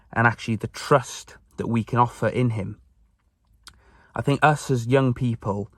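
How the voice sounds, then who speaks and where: A man's voice: mid-range voice, no room sound, clean studio sound. A man in his twenties with a Welsh accent, a native Welsh speaker, earnestly sharing a personal reflection on faith from his parked car. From Wales. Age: 20s. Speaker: church youth member sharing faith. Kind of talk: personal faith talk to camera.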